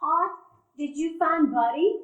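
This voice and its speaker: An actress playing a gentle, flustered elderly woman in a live stage radio play, talking in a fluttery, soothing way. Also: sits high, animated, a little slow, dull audio. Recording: echoey live stage recording.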